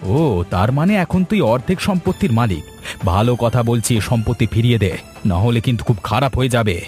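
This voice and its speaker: A man's voice: medium pitch, expressive, brisk, darkish tone, close to an everyday voice. A man voicing a stern, indignant young village man in a cartoon, with a scolding, emphatic delivery. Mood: threatening. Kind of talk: cartoon voice acting. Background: background music.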